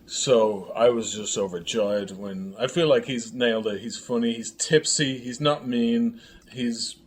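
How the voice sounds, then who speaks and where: A man talking casually in an interview as a writer and director, explaining his work haltingly and with enthusiasm. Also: mid-range voice. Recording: faintly noisy room ambience.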